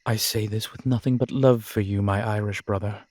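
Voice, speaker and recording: A man's voice: on the low side, steady tone, moderate pace, a little mellow, no echo, lightly acted. A man playing a wry, easygoing werewolf pack leader in an audio roleplay drama, with a casual, teasing manner. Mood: amused.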